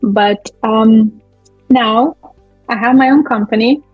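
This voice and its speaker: Young woman, husky, higher voice, halting with fillers, muffled dry audio. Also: no echo, soft background music. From Lithuania. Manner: friendly, halting, with many uhs and ums. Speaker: guest, business owner. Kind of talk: casual interview talk.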